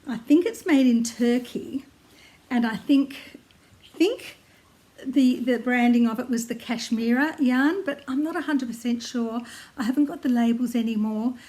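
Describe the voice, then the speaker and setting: Croaky older Australian woman, chatty. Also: fairly high pitch, dark tone. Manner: chatty, friendly, with frequent ums and little laughs. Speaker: knitting vlogger. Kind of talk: casual chat to camera. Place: at home in her lounge room, seated. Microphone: tablet camera close by.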